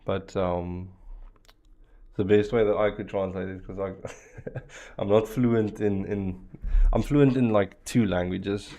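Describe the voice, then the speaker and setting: A man whose first language is Afrikaans talks through a networking lab on a live stream. His voice sits a little low, and his delivery is loose and chatty, full of fillers and restarts. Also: fairly even intonation, slow pace, mellow. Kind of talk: casual live-stream chat while working a lab.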